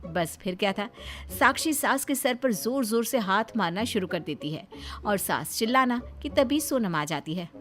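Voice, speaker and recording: A woman narrator reading a scripted family tale in an expressive storytelling style. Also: medium pitch, normal speed. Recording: music behind the voice.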